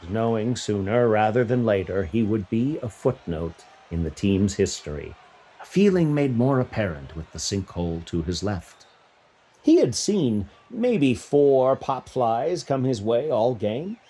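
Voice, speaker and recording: A man's voice: medium pitch, warm tone, husky. A man narrating a scripted short story as a literary reader. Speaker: fiction reader. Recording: music bed behind voice.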